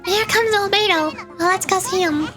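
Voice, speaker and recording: A tiny, cheeky fairy companion from a fantasy game, acted out by a woman, with a very high, childlike voice. She is excitable and food-loving. Music plays behind her. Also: a little slow. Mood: perky, cheeky. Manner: childlike, speaks of herself in third person.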